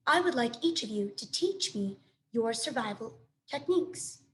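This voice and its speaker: A woman voicing a calm, polite robot with a measured, literal, formal manner in a children's story. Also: fairly high pitch, a little hiss or hum, close to an everyday voice. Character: calm, polite robot newcomer among animals.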